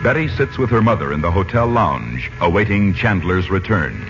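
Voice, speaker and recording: A man's voice: low-pitched, very animated, moderate pace. A man announcing and narrating a 1940s radio serial from a script in a formal, theatrical, emphatic style. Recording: muffled vintage 1940s radio recording. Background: music.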